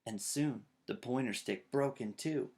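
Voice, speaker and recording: A man's voice: mid-range voice, a little slow, a little mellow. A man, reading aloud to children. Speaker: storytime reader. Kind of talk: animated children's read-aloud. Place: small child's bedroom indoors.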